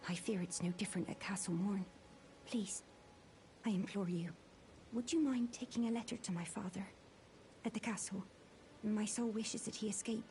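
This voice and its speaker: Casting: actress as frail young noblewoman, fantasy game, soft, breathy, hesitant, formally polite and fearful, music underneath. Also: medium pitch, lightly acted. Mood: pleading. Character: frightened, frail, courteous young noblewoman pleading for help. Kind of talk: fantasy video game dialogue. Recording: music behind the voice.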